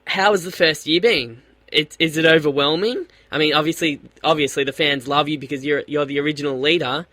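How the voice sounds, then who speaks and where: A man's voice: fairly high pitch, fairly even intonation, brisk, pushed and bright, no echo. A man with an Australian accent hosting a radio interview, asking eager questions and often stumbling over his words. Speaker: radio host.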